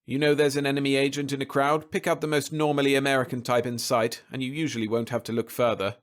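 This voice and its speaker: Male narrator as assured colonel in an audiobook, analytical, exact, matter-of-fact, pitched slightly above plain narration. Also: level tone, fast, natural voice. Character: authoritative, observant military colonel.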